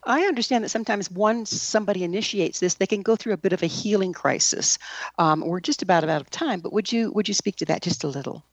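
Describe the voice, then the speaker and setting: A woman's voice: medium pitch, quick pace, no room sound. Woman hosting, dry studio sound. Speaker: show host. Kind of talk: radio interview hosting with scripted sign-off.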